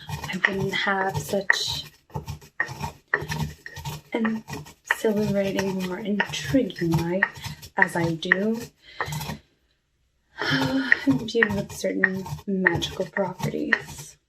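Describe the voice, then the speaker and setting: A woman playing a cursed outcast witch of the woods in an ASMR roleplay, speaking softly and often whispering, with a wistful, genteel manner. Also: mid-range voice. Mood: intrigued, musing. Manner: soft, unhurried, wistful, genteel, drawn-out phrasing. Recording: noisy, with clicks and dish clatter.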